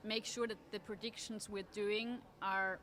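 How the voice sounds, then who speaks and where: Casting: woman, articulate, measured, mid-pitched interview guest. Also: level tone, a bit bright. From Austria. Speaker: interview guest, trend forecaster. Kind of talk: conversational interview. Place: at a table at a design fair.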